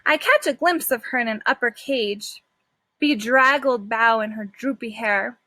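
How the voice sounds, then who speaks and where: A woman's voice: fairly high pitch, a little slow, sharp tone, no room sound. A woman teacher reading a children's story aloud expressively, with simple explanatory asides to her young listeners.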